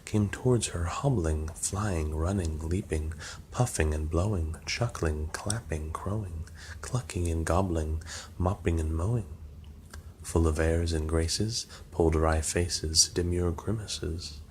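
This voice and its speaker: A man's voice: deep voice, slow pace. A man narrating a poem aloud as an ASMR reading. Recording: clear background noise.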